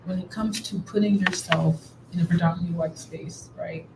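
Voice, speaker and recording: A woman moderating a panel, asking a long question in a measured way. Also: fairly low pitch, steady tone. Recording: echoey room with background noise.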